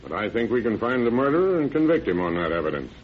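An actor playing a confident, methodical sheriff in an old radio drama, firm and brisk. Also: male voice, medium pitch, big pitch swings, lightly acted. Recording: dull, noisy old radio recording.

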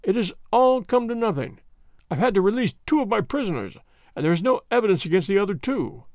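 A man's voice: sits high, big pitch swings, fairly quick, darkish tone, no room sound, clean studio sound, voice pushed up. A man voicing a hearty, blustering middle-aged police inspector. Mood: glum.

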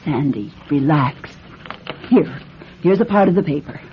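A woman's voice: sits low, expressive, unhurried. An actress playing a composed, sensible female passenger in a radio drama, reassuring and slightly chiding. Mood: brisk, calm. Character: composed, soothing, sensible woman bus passenger. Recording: dull lo-fi radio recording, faint noise.

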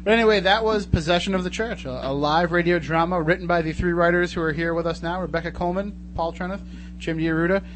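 Male talk radio host, fairly high voice, casual banter, noisy humming recording. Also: fairly even intonation, fast. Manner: joking, chatty. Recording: noticeable hum and background noise.

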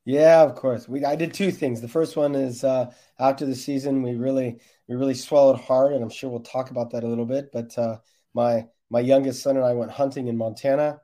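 A man's voice: mid-range voice, steady tone, a little fast, mellow. A man who coaches soccer, answering interview questions in a conversational, explanatory way.

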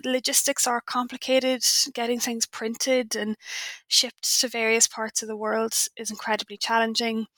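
A young adult Irish woman talks about her work in an interview, pausing often with ums as she thinks. Her voice is bright and forward. The sound is dry and close. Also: on the high side, little pitch movement, no echo. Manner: thoughtful, hesitant with frequent ums. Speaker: author guest. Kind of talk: podcast interview.

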